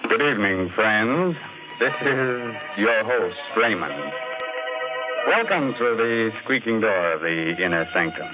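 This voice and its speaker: Ghoulish radio storyteller, deep-ish voice, sardonic, theatrical, 1940s horror show, thin narrow-band sound. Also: male voice, expressive, slow. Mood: ghoulishly playful. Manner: theatrical, ghoulishly tongue-in-cheek. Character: macabre, sardonic horror host telling his ghostly tale. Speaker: host and narrator. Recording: tinny 1940s radio recording with music.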